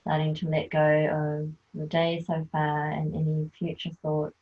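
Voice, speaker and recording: Woman teacher, guided relaxation: low, flat and unhurried, soft and soothing, thin phone-like sound. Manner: slow, soft, soothing instruction. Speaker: yoga nidra teacher.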